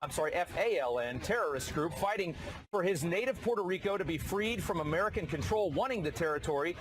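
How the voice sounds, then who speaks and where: A man reporting live outdoors on a city plaza, with a brisk, factual news delivery. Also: sits high, lively intonation. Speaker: field reporter. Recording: outdoor street noise.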